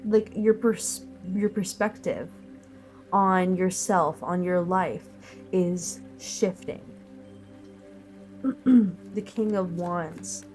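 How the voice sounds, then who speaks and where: A woman's voice: on the low side, extremely slow, long pauses, a little mellow, faint music behind. A woman giving a collective tarot reading while sick, sounding nasal and sniffly, talking in a thoughtful, meandering way with many fillers. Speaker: tarot reader.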